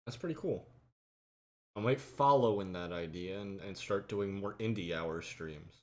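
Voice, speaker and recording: A man's voice: sits low, moderate pace. Male host, casual banter, laughs a lot. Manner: joking, laughs often. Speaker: streamer and podcast host. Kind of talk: casual chat.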